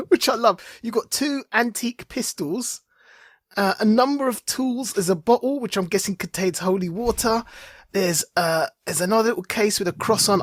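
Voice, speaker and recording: A man's voice: sits high, dry recording, studio quality. A man co-hosting a podcast, talking informally in casual chat and commentary. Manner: informal, conversational. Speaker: podcast co-host.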